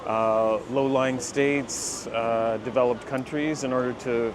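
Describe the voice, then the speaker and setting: A man giving a measured, halting explanation in an outdoor interview. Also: medium pitch, fairly even intonation, background noise.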